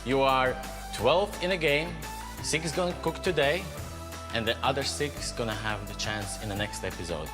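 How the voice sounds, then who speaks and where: A man's voice: mid-range voice, moderate pace. A man hosting a cooking competition, encouragingly explaining the rules. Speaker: judge. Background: background music.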